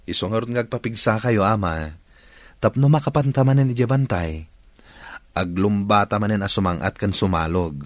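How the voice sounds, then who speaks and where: An actor with an Ilocano accent playing a devoted, respectful young son in a radio drama. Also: male voice, lowish voice, normal speed, dry recording, lightly acted. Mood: caring, encouraging. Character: devoted, respectful young adult son, earnest about love.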